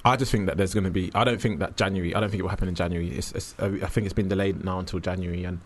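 Male radio host, low voice, hesitant, lots of ums and restarts. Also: steady tone, rapid-fire. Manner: hesitant, filler-heavy, friendly. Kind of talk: casual radio chat.

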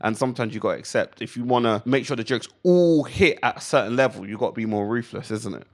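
A young man in casual comic chat, animated and joking, slangy, repeating phrases for emphasis as a guest comedian. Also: mid-range voice, fast talker. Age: young adult. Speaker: stand-up comedian, radio guest.

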